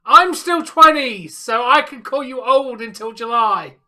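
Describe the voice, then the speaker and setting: Bright-voiced young Englishman bantering. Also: very high voice, lively intonation, measured pace. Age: 20s. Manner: joking, teasing, chatty. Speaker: co-host of a live game reaction stream. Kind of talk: casual banter.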